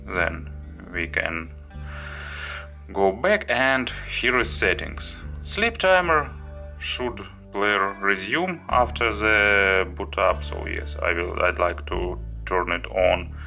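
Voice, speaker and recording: Deep, slow-paced man reviewing a gadget. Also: lively intonation, creaky, bright, forceful tone, soft background music. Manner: halting, listing items one by one. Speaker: product reviewer, host. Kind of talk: unscripted product review walkthrough.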